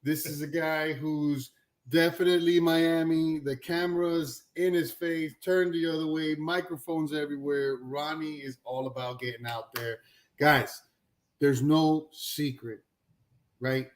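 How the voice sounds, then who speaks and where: A man hosting a live stream with high energy, throwing out hype-style shout-outs. His voice sits a little high for a man. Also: fairly even intonation, unhurried. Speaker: host.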